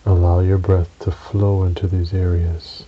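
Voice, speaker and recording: Very deep, slow male meditation voice. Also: flat delivery, breathy edge. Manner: soothing, measured, with long pauses between short phrases. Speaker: guided meditation narrator. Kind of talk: guided relaxation meditation. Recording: dull recording with audible hiss.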